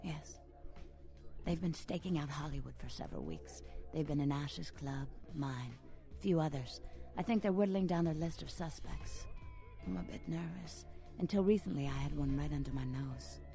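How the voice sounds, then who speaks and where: An actress voicing a seductive, melancholic female vampire nightclub owner in a video game, with a breathy, teasing, lingering delivery. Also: sits low, animated, moderate pace, mellow, faint music behind. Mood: confiding, coy.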